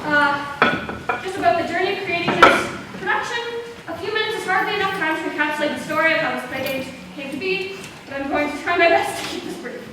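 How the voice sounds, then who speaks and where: A woman's voice: very high voice, fast. A young woman giving an earnest, slightly hesitant and informal welcome speech as a playwright before a show. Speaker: playwright introducing own school play. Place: echoey performance space with seated audience. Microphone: distant video camera at the back. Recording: distant microphone, echoey noisy hall.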